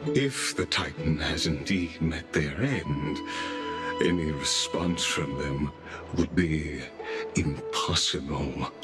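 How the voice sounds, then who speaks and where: An actor playing a suave, courteously menacing official in a video game, formal and theatrical, with drawn-out pauses. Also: male voice, a bass voice, over music. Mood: smooth, probing.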